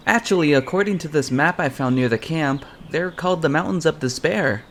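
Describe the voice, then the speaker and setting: A man's voice: a little high, slight background noise, lightly acted. An actor playing a weary young man who sighs and makes dry, sardonic remarks. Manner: sighing, sardonic, slow.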